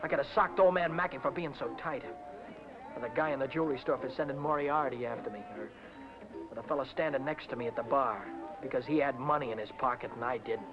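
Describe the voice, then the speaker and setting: An actor playing a restless young mechanic sliding into crime, in clipped 1950s noir style. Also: male voice, mid-range voice, quick pace, lightly acted, poor audio. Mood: bitter, brooding. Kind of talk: 1950s noir voice-over and film acting. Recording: old film soundtrack with loud music.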